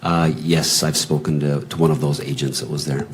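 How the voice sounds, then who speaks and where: American male police witness, extremely low voice, factual and halting, courtroom audio with faint noise. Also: flat delivery. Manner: halting in long answers, brief factual replies. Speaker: law enforcement witness testifying. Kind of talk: courtroom testimony.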